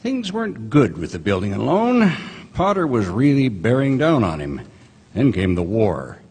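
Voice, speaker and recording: An actor plays a commanding heavenly superior narrating in a radio play. His husky voice has a middle pitch and sweeps dramatically up and down. The sound carries a long theater reverb. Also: big pitch swings, moderate pace, darkish tone. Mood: narrating, animated. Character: authoritative male heavenly superior, a storytelling angel. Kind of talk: dramatic storytelling, old-time radio acting. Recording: reverberant theater stage recording.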